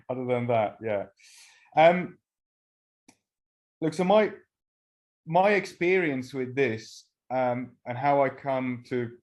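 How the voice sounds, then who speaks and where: A middle-aged man from Finland, a native Swedish speaker, telling his personal recovery story at a meeting with halting pauses and restarts. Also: mid-range voice, a little mellow. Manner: halting, frequent restarts and fillers. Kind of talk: personal testimony talk.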